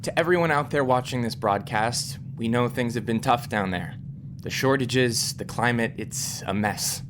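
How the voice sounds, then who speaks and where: A man's voice: mid-range voice, normal speed, background noise, close to an everyday voice. An actor playing an earnest, slightly flustered male scientist fronting a broadcast in an audio drama. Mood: earnest, nervous. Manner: earnest, presentational.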